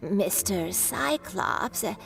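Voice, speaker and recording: A kindly old granny shopkeeper from a video game. She talks slowly in a swooping, expressive voice over faint music. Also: mid-range voice, creaky, exaggerated voice. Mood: hesitant, businesslike. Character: kindly, unhurried old granny kite seller.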